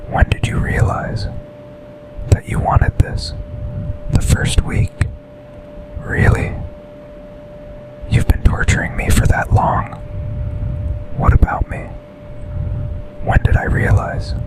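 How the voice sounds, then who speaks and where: A man playing an intense, tender office boss and secret lover in a breathy, intimate whispered ASMR boyfriend roleplay. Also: lightly acted, rough recording. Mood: intimate, confessional. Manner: slow, intimate, deliberate delivery with pauses.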